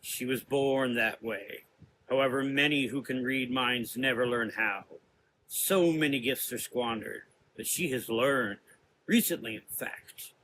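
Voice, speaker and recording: A man playing a menacing, cerebral gothic sorcerer in an audio drama, lecturing deliberately in drawn-out, taunting phrases. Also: mid-range voice, bright, forceful tone, slight background noise, low-quality recording. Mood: accusing.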